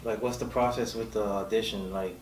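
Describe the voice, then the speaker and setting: A man co-hosting a casual podcast, putting colloquial, halting questions to a guest. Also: on the low side, steady tone. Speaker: podcast co-host. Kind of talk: casual chat. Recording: distant, echoey room, faint noise.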